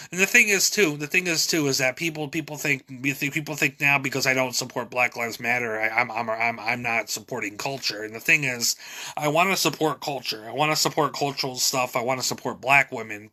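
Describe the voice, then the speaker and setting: A man's voice: mid-range voice, sharp tone. A man talking to camera in a rambling personal vlog monologue, often repeating and restarting his words, a little congested. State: nasal drip, clears throat. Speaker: vlogger.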